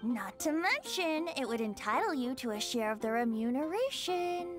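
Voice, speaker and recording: Youthful boy bard, high-pitched, playful, mock-formal, theatrical game acting, music underneath. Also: sing-song, normal speed. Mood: cheerful, grandiose. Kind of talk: video game character acting.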